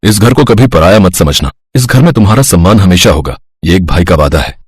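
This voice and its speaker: A man voicing an affectionate, teasing grown brother in a cartoon, hearty and husky. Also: low-pitched, expressive, a little fast, no echo, studio quality. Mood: sincere, affectionate.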